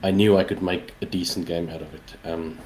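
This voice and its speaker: Male game developer, forties, South African accent, native Afrikaans, low-pitched, conversational, noise behind him. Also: fairly even intonation, brisk, a little mellow, rough recording. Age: 40s. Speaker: interview guest, indie game developer. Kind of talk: casual interview answers.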